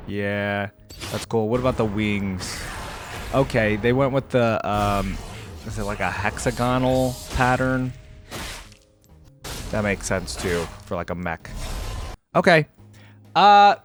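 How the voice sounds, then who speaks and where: A man's voice: lowish voice, animated, slow, pauses often, over music. A man giving casual, off-the-cuff reaction commentary as a video creator, thinking aloud in a conversational way.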